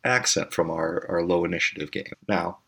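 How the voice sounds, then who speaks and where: A man's voice: low voice, normal speed, vocal fry, fairly bright tone, breathy edge. A man hosting a podcast, talking in a rambling, enthusiastic monologue. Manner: rambling, enthusiastic, many fillers. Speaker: podcast host.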